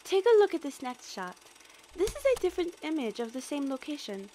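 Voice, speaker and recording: A woman's voice: high-pitched, big pitch swings, a little fast, mellow, slight background noise. A woman playing an earnest, eager young military analyst in an audio drama, precise and deferential. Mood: earnest briefing. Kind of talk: scripted audio drama acting.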